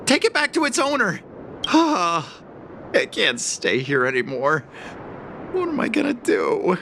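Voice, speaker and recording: A man's voice: high-pitched, huge pitch swings, measured pace, creaky, bright, forceful tone, slight background noise, exaggerated voice. An actor voicing a shifty, cowardly male petty thief in a game, stammering and nervous. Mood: pleading, panicked. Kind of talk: dramatic game voice acting.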